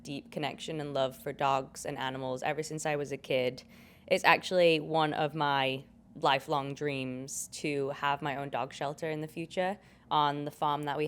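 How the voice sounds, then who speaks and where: A young woman with a British accent chats through her podcast, her voice worn hoarse by a cold. She speaks low and keeps things relaxed and jokey as she goes. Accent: British English. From Britain. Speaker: podcast host. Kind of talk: casual chat.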